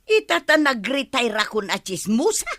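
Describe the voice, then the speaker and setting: A woman's voice: on the high side, very animated, normal speed, a bit bright, dry recording, studio quality. A woman with an Ilocano accent playing an eager, chatty village gossip in a radio comedy drama. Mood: conspiratorial.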